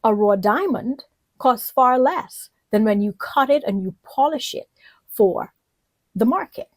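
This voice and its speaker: A woman from the Bahamas delivers a lecture in a fairly high voice. She is deliberate and emphatic, leaning on key words and putting questions to the listener. The sound is dry, with very little room in it. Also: lively intonation, no echo. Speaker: political leadership lecturer. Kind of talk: instructional lecture to camera.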